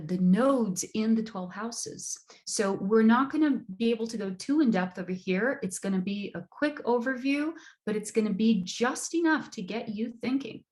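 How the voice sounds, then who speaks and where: A woman from Brazil giving an online astrology lecture, measured and emphatic, repeating words for stress. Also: medium pitch, a little mellow.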